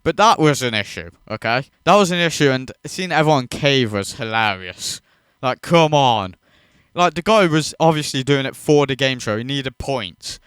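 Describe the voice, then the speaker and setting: A man's voice: medium pitch, animated, normal speed, fairly bright tone, clear, resonant tone, no room sound, studio quality. A man hosting a reaction video, ranting in disbelief and swearing freely as he mocks what he watches. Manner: incredulous, profane, mocking.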